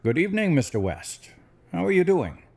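Male actor for earnest senior lawyer in audio drama. Lively rise and fall, polite, conciliatory, formal. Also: a little high, moderate pace, gravelly, a little hiss or hum. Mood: courteous.

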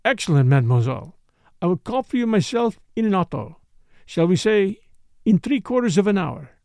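A man's voice: high voice, big pitch swings, moderate pace, darkish tone, no echo. A man playing a courteous middle-aged detective with a French accent and neat, precise, formal diction in an audiobook. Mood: courteous, brisk.

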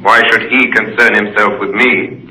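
A man's voice: fairly low pitch, steady tone, normal speed, pushed and bright. An actor playing an imperious ancient pharaoh speaking from his tomb, formal and commanding, in 1930s radio thriller style. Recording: echoey 1930s radio recording.

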